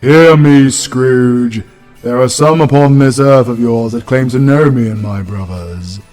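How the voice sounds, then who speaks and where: A man's voice: mid-range voice, unhurried, darkish tone, soft background music, close to an everyday voice. Man acting a hearty, fatherly British Christmas spirit. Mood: solemn. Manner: hearty, grandly paternal, teasing. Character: jolly, fatherly male Christmas spirit with dark humour. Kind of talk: comedic audio roleplay acting.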